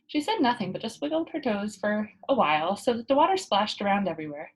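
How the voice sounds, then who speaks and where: A woman reading a children's story aloud in an expressive narrating style. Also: mid-range voice, fast, breathy edge. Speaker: storybook reader.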